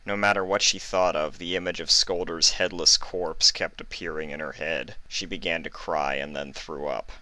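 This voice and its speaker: Deep voiced guy reading a story dramatically, close dry recording. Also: bright, forceful tone, dry recording. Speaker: fan fiction narrator. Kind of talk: dramatic scripted story narration.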